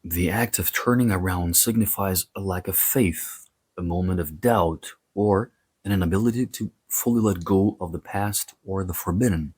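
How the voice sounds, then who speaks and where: Deep, husky-voiced man lecturing. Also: normal speed, a little mellow, no room sound. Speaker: host of a history and mythology series. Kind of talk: scripted lecture with casual asides.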